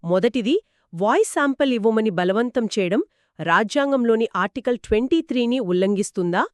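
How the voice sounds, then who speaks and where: Lively woman explainer, close dry sound. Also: on the high side, normal speed, no echo. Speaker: explainer co-host. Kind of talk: scripted two-person legal explainer discussion.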